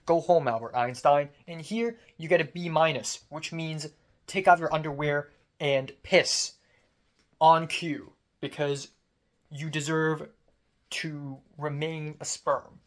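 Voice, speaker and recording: A man's voice: on the high side, fairly even intonation, slow. A young man from Hong Kong speaking in a casual, late-night diary vlog. His throat is sore and strained, and he rants with sarcasm, swearing and self-mockery. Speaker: vlogger.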